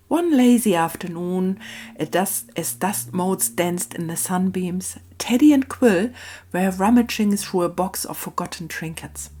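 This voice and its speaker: Slow-paced woman narrating. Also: mid-range voice, mellow. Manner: musing, hesitant, thinking aloud. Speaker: craft video host. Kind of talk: casual craft narration and slow storytelling.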